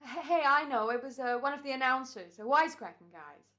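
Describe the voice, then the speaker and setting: Woman as bright, flippant radio actor. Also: sits high, lively intonation, a little fast. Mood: casual, curious. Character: flippant, slangy radio actor playing hammy murderer. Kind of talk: old-time radio comedy acting.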